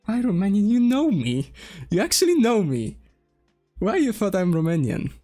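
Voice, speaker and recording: A man from Poland with a Polish accent, talking casually as he draws on a stream, slowly thinking aloud with pauses and restarts. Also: sits high, wildly swooping pitch, warm tone, faint music behind. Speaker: streamer and game developer. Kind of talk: casual drawing stream talk.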